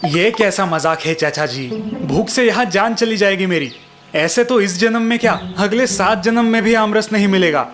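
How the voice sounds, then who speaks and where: A man's voice: high-pitched, moderate pace, music in the background, lightly acted. A man playing a spoiled, boastful young village son in a cartoon moral story, with a whiny, dismissive manner. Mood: hungry, complaining. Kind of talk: animated Hindi moral story dialogue.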